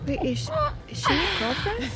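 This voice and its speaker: An actress playing a gossipy, giggly, easily confused female friend in an audio drama, excitable in manner. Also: very high voice, moderate pace, over loud music. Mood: amused, confused.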